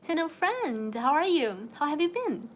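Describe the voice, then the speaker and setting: A woman's voice: high-pitched, big pitch swings, unhurried, a little hiss or hum. A young woman from China with a Chinese accent, chatting casually with viewers on a home livestream, laughing often and greeting people by name. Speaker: livestream host. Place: home in the evening.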